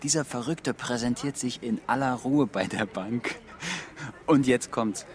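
An actor playing a self-satisfied young police detective, telling gossipy, animated stories in audio drama dialogue. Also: male voice, medium pitch, a little slow, lightly acted. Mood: gleeful.

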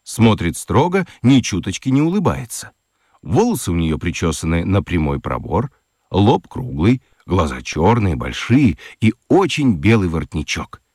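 A man narrating a children's radio play in an expressive storytelling manner. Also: low-pitched, moderate pace, husky, no room sound, clean studio sound.

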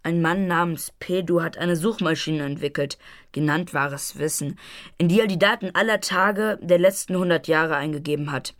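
A woman's voice: on the low side, normal speed, close to an everyday voice. A woman playing a precocious, stubborn twelve-year-old grandson in a radio drama, formal and insistent in manner. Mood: matter-of-fact. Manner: formal, matter-of-fact, insistent. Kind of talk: German radio drama acting.

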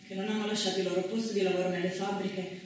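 A woman's voice: medium pitch, level tone, fast. A woman stage actress delivering scripted historical narration in a declamatory theatrical way. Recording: roomy echo, distant mic, faint noise.

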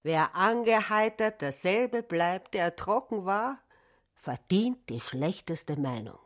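A woman giving measured, articulate literary narration in a radio play. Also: medium pitch, lively intonation.

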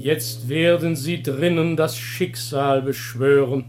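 Solemn chorus leader, classical radio drama. Slow chant, fairly high voice. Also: male voice, steady tone, over music. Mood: solemn, expectant. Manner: measured, chanting. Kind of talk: German radio play of classical comedy.

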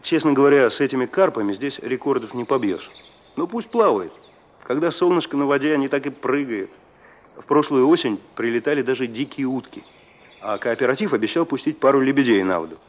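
A man's voice: medium pitch, animated, brisk, natural voice. Muffled radio-play village man played by an actor. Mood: matter-of-fact. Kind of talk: Soviet radio drama acting. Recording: muffled old radio drama recording.

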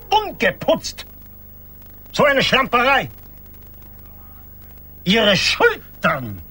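A censorious, pedantic bureaucrat in a radio play. He speaks slowly and pompously, with a high voice that swoops widely as he lectures. Music runs faintly behind him. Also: sharp tone, heavily acted. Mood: disgusted, scolding. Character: pedantic, self-righteous bureaucrat, a scolding male friend. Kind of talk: German radio play acting.

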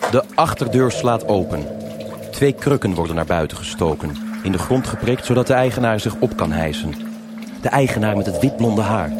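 Deep-voiced actor as noir narrator. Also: male voice, background noise, natural voice. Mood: suspenseful. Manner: measured, terse, deliberate. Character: sober male narrator of a noir crime tale. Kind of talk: scripted present-tense noir narration.

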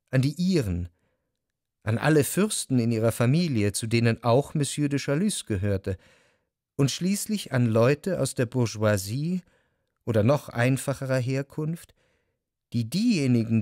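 An actor delivering scripted literary narration as a narrator in a radio play. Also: male voice, mid-range voice, darkish tone, no room sound, studio quality, close to an everyday voice.

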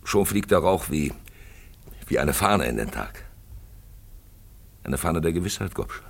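A man acting a probing, ominous narrator-questioner in a German radio play, gravelly, theatrical and rhetorically insistent. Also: low-pitched, expressive, a little slow, heavily acted. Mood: brooding, probing.

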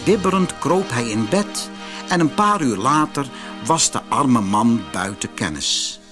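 A man narrating a fairy tale for a children's audiobook in an expressive, measured storytelling manner. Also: medium pitch, music in the background.

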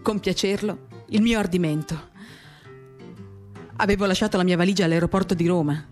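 A woman's voice: lowish voice, a little fast. Woman reading literary drama over guitar music. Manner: expressive, theatrical, shifting from animated to hushed. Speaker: reader performing a literary radio reading. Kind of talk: dramatic first-person literary narration. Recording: acoustic guitar accompaniment.

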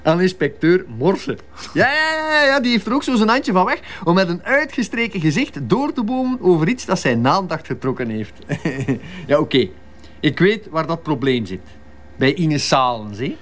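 A high, animated Flemish male doctor. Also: normal speed. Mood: amused, teasing. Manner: chatty, adds tag questions and coaxing interjections. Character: chatty, jovial male doctor, fan of crime novels. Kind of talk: naturalistic Flemish radio drama acting.

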